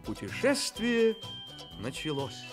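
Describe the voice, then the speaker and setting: A man's voice: high voice, wildly swooping pitch, slow, music in the background, heavily acted. A man playing a kindly, playful storyteller in a children's radio play, theatrical and coaxing. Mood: storytelling. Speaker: narrator.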